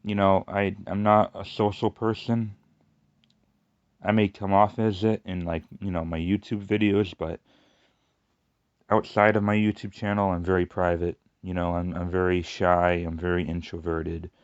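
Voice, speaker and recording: A man with a lateral lisp, rambling through a casual personal monologue with halting restarts and fillers. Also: sits low, little pitch movement, clean tone, no echo, lo-fi sound. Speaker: YouTuber.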